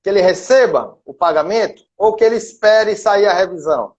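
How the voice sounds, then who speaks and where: A middle-aged man from Salvador with a softened Bahian accent, a lawyer and professor explaining cases through worked examples in a live stream. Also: high voice, a little fast, highs cut off. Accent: Bahian Brazilian Portuguese, softened. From Salvador, Bahia, Brazil.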